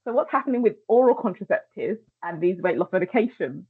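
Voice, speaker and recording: Host lady reading qs off a video call, brisk, upbeat, sounds tinny like a phone. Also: medium pitch, expressive, husky. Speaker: webinar host. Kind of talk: reading out audience questions.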